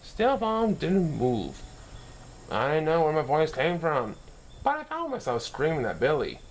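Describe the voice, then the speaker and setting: A man's voice: fairly high pitch, huge pitch swings, measured pace, slight background noise. A man reading a children's story aloud as narration, now and then stumbling and correcting himself. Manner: occasional stumbles and self-corrections while reading. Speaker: story reader.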